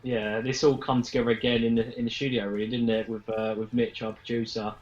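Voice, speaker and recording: A man's voice: medium pitch, level tone, brisk, a little mellow. Mid-voiced man, dull lo-fi audio. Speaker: band member being interviewed. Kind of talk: casual interview chat.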